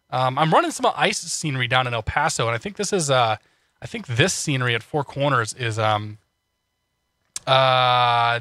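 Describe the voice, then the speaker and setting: A man's voice: mid-range voice, pushed and bright, no echo, studio quality. A man streaming a flight sim, chatting casually with viewers, with a slightly congested voice and many restarts. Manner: chatty, frequent restarts, laughs often. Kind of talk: casual live-stream chat.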